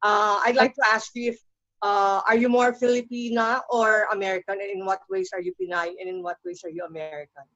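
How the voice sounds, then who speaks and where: A woman's voice: medium pitch, steady tone, fairly quick, a bit bright. Friendly woman reporter on a dull call. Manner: friendly, hesitant, mixes in Tagalog words. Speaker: journalist. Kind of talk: press conference questions. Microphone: video call. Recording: dull lo-fi video call audio.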